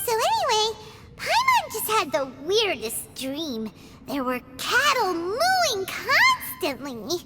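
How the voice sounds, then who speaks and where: A woman voicing a tiny, excitable childlike fairy companion in a video game, with a squeaky, chirpy delivery. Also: slow, heavy background noise, exaggerated voice. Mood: bubbly, curious. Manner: chirpy, refers to self in third person. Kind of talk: animated video game acting.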